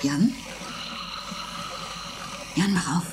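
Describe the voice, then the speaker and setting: A woman's voice: on the low side, extremely slow. An actress playing an anxious, plain-spoken woman living in a space dome, acting expressively in a radio play. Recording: noisy radio drama with water sounds.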